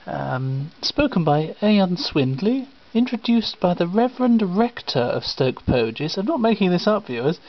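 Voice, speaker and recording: Wry man presenting, lively pitch, dull recording. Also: unhurried, hardly any pauses. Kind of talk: casual wry introduction to viewers. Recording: dull home recording with noise and clicks.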